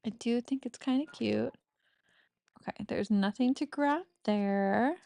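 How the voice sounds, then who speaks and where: A woman's voice: medium pitch, darkish tone, dry recording, studio quality. A woman chatting casually while playing a cozy farming game, giggly and self-mocking, with a slightly stuffy nose. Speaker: gaming streamer. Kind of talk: casual let's-play commentary.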